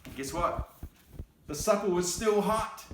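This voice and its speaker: A man's voice: high voice, lively intonation, measured pace, a bit bright, reverberant, a little hiss or hum. A man reading a picture book aloud expressively, with playful, casual asides and exclamations as he goes. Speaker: storyteller.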